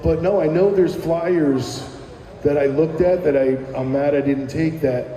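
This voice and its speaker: A man's voice: fairly high pitch, a little slow, warm tone. A middle-aged man from New Jersey, a musician casually reminiscing in an interview with lots of fillers and restarts. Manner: rambling, many fillers and restarts. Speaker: musician guest. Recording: echoey room with long reverb.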